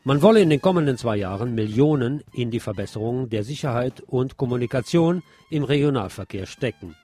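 A man's voice: medium pitch, animated, fairly quick, dark tone, slightly rough, no room sound. A man presenting scripted radio news, reading reports and adding wry, sardonic asides.

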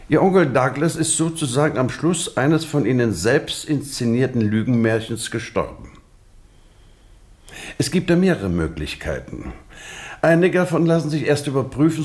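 An actor playing a shrewd, probing detective in a radio drama, formal, measured and relentless in questioning. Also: male voice, medium pitch, darkish tone, close to an everyday voice. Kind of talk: scripted radio drama dialogue.

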